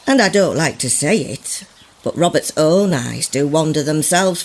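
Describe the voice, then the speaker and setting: A woman playing a sanctimonious village gossip of olden times, confiding and insinuating in an archaic radio drama monologue. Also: low voice. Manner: confiding, mock-pious, insinuating.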